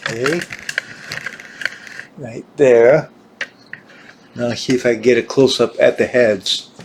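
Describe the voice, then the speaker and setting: Slow, halting man demonstrating gear. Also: mid-range voice, fairly even intonation, darkish tone, a little hiss or hum. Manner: halting, searching for words, repeating himself. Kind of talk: informal hands-on equipment demonstration.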